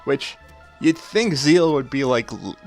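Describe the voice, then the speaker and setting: A man of thirty hosts a let's play in an easy, chatty way. His voice sits at an average pitch and he is prone to chuckling between remarks. Game music plays faintly behind him. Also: animated, slow pace, darkish tone. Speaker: gameplay video host. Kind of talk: casual let's play commentary.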